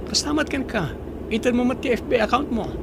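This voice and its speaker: A man's voice: extremely high pitch, expressive, a little fast, vocal fry, noisy background, full character voice. A man playing a friendly, courting young man in an audio drama.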